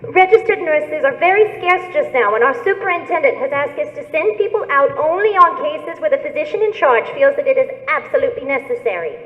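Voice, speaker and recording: A woman plays an officious switchboard lady in a 1940s radio drama. She is formal and politely brisk, with a high, swooping pitch that comes through thin as a telephone in a reverberant hall. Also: lively intonation. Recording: phone-like thin sound in echoey hall.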